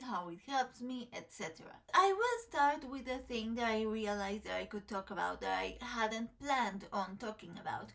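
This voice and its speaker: A woman's voice: fairly high pitch, a bit bright. A young woman with a Mexican Spanish accent, chatting casually to camera in English with many fillers and restarts; a speech condition leaves some sounds unclear. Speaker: tarot vlogger.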